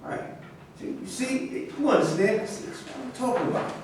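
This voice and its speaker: A man's voice: very high-pitched, expressive, moderate pace. A man acting a dismissive football star, echoey. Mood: self-justifying. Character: cool, dismissive male football star, slangy and self-justifying. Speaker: actor in a staged reading. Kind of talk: staged play reading. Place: echoey indoor room at a staged reading. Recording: distant, very echoey room with noise.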